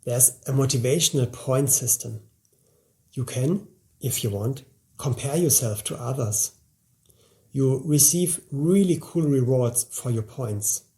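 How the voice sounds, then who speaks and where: A man's voice: mid-range voice, gravelly, mellow. A man in his forties delivering a scripted promotional narration as a course presenter, speaking to the listener directly in a motivational way. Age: 40s.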